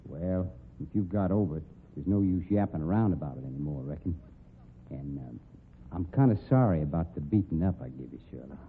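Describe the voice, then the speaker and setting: A man's voice: sits low, expressive, brisk, a little hiss or hum. A man playing a tough, plain-spoken rodeo cowboy sleuth with a folksy American drawl, in old-time radio drama style. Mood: subdued, gruff. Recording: muffled lo-fi old radio recording.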